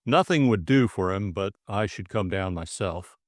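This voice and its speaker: Stolid, understated police superintendent for an audiobook, acted by a man; deliberate, colloquial, close dry sound. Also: fairly low pitch, expressive, no echo, natural voice. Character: stolid, wooden, unhurried male police superintendent. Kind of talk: audiobook character dialogue.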